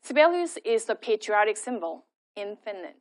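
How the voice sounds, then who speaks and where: Woman giving a measured lecture. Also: medium pitch, sing-song. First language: Korean. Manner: measured, explanatory delivery. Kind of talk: academic lecture.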